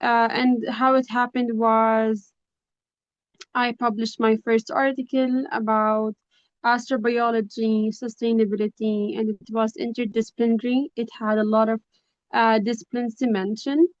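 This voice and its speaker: A woman's voice: fairly high pitch, flat delivery, measured pace, clean tone, no room sound. A young woman from Lebanon, introducing herself informally as a guest speaker on a video call. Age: young adult. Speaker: guest speaker, project coordinator. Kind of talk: informal self-introduction at a webinar. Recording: dry but dull, lo-fi video call.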